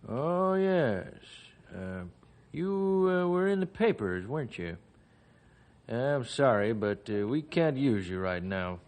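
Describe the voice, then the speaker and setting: An actor playing a hesitant, awkward male employer in old-time radio style, halting and evasive. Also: medium pitch, sing-song, a little mellow. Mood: uneasy.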